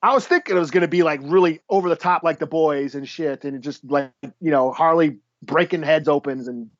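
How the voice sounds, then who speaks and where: A man's voice: a little high, a little fast, no echo. A man co-hosting a comic book podcast, giving blunt, profane, slangy opinions in casual chat on a dull lo-fi recording.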